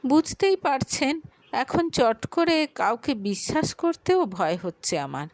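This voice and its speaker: A woman's voice: sits high, big pitch swings, a little slow, dry recording, pitched above the performer's own voice, heavily acted. A woman voicing a frightened, pleading college girl in her early twenties for a dramatic audio story. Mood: defensive, anxious. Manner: pleading, anxious, defensive.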